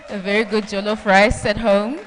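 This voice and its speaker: A woman's voice: medium pitch, expressive, unhurried. A woman novelist from South Africa, chatting on a festival panel with frequent "um"s and laughter, then reading from her book. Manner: chatty, laughs often, many "um" fillers. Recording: reverberant festival hall.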